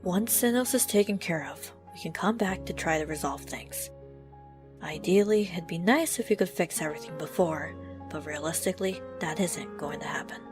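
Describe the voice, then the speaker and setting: A woman playing a firm, blunt, level-headed team leader in an audio drama, speaking in a measured way over music. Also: on the low side, lightly acted. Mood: steadying. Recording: music bed behind the voice.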